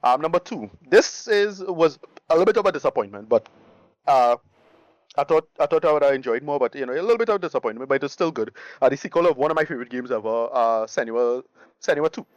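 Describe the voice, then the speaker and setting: A man's voice: medium pitch, animated, no echo. Man chatting casually, slightly muffled sound. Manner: halting, repetitive phrasing with enthusiastic slang. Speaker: podcast co-host. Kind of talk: casual chat, reviewing favourite games.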